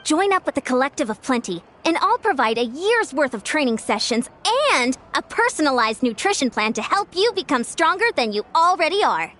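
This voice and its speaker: A woman's voice: sits high, very animated, brisk, few pauses, fairly bright tone, slight background noise. A woman voicing an upbeat, confident young saleswoman in a video game, cheerful and persuasive. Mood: enticing.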